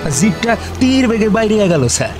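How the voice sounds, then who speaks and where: An actor playing a servile, slow-witted office peon in a radio comedy, with a rustic regional Bengali accent and a stammering, deferential manner. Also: male voice, high-pitched, very animated, over music. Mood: flustered. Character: sleepy, bumbling, servile male office peon.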